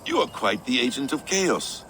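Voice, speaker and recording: An actor playing a composed, approving war adviser in a video game cutscene. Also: male voice, medium pitch, very animated, moderate pace, bright, forceful tone, a little hiss or hum, poor audio.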